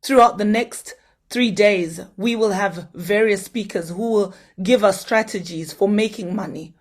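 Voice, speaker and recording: A woman's voice: medium pitch, a little slow. Earnest woman exhorting a conference. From Zimbabwe. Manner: earnest, exhorting, asks the audience direct questions. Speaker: conference opening speaker. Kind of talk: motivational conference address.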